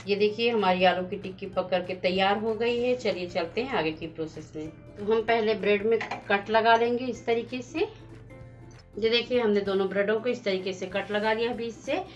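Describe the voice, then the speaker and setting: A woman hosting a home cooking channel, calmly explaining each step of a recipe as she goes. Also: mid-range voice, moderate pace. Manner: plain, instructive, walks through each step. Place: home kitchen at the stove. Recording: slightly dull lo-fi sound with faint music.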